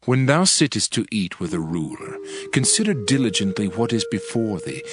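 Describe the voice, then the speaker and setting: A man giving a dramatized Bible reading in a solemn, emphatic, oratorical manner. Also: lowish voice. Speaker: scripture reader. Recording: music behind the voice. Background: music.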